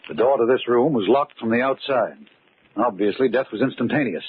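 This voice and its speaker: A man's voice: medium pitch, a little fast, breathy edge. An actor playing an accused man hunting a murderer in an old-time radio mystery, urgent and earnest with a dry, ironic edge. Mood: deductive, dry. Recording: tinny, noisy old radio recording.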